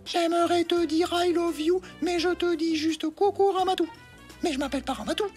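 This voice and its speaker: A woman's voice: very high voice, steady tone, moderate pace, darkish tone, faint music behind. A woman voicing a know-it-all young schoolgirl in a cartoon dub, theatrical and condescending. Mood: reciting, puzzled. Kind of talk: French cartoon dubbing.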